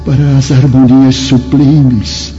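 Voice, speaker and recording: Um homem interpretando um velho e sábio mestre espiritual, paternal e sereno, que prega com solenidade em radionovela religiosa. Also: male voice, medium pitch, flat delivery, dark tone, lightly acted. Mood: serene, fatherly. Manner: grave, preaching, measured. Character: wise old male spirit master, serene and fatherly. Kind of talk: solemn religious radio drama acting. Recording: dull radio drama recording, music behind.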